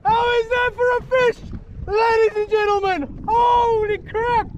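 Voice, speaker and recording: Young man, high lively voice, slow excitable whooping, windy outdoor camera audio. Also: lo-fi sound. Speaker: fishing vlogger. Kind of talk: excited live commentary while fishing. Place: open water on a jet ski, outdoors.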